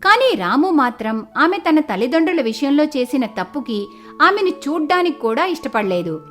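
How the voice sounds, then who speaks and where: A woman's voice: sits high, very animated, moderate pace, a bit bright, faint music behind. A woman narrating a scripted moral story as its storyteller.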